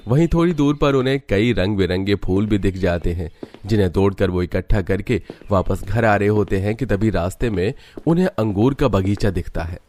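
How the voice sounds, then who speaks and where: A man's voice: on the low side, normal speed, darkish tone, a little hiss or hum. A man narrating a scripted cartoon story like a dramatic storyteller.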